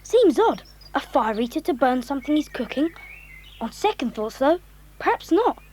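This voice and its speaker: A woman's voice: sits high, sing-song, normal speed, darkish tone, slight background noise. A woman voicing a sensible, wry schoolboy in a children's audio drama. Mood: dry, puzzled.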